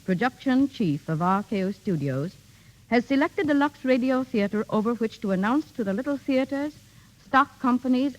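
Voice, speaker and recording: A middle-aged woman reading a formal, measured 1930s radio address as a guest speaker. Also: on the high side. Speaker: guest speaker, theater director. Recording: muffled 1930s radio broadcast.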